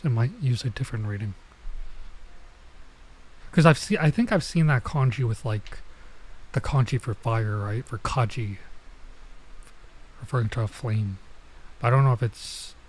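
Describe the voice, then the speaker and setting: A man streaming a visual novel, reading lines aloud and commenting casually, haltingly sounding out some words. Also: medium pitch, darkish tone, slight background noise.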